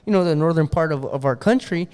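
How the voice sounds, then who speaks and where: A man from the Los Angeles area hosting a podcast interview, talking in relaxed slang and slipping into Spanish. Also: on the high side, fast, clear, resonant tone, long reverb tail. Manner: laid-back slang, mixes in Spanish. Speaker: podcast host. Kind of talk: casual interview chat.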